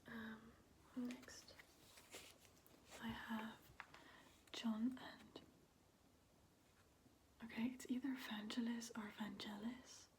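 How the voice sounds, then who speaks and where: A woman whispering in a breathy, unvoiced voice, chatting casually and hesitantly in an ASMR style as a video host. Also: fairly high pitch, little pitch movement, very slow, long pauses, background noise. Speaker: video host showing a record collection.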